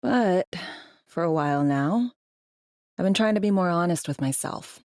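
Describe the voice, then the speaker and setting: A low female voice, recorded close and dry, plays a worldly spy for a video game. She talks in a clipped, slangy way, with a sardonic edge and the weariness of someone long in the field. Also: expressive, normal speed, darkish tone, dry recording. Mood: casual, candid. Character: world-weary, wry female undercover spy in a dystopian city. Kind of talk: video game voice acting, conversational dialogue.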